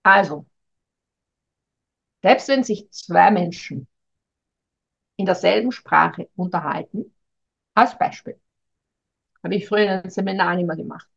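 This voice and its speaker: A woman's voice: on the low side, unhurried, vocal fry, pauses often, a bit bright, breathy edge. A middle-aged woman, speaking as a communication coach in a thoughtful, hesitant way and addressing the listener directly. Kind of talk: reflective advice monologue. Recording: dull lo-fi recording.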